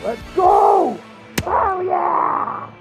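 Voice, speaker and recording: A man playing a wild, defiant young gambler in an anime dub style, with frantic, drawn-out shouting. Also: extremely high pitch, animated, creaky, heavily acted. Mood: exhilarated. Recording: distant voice under loud music.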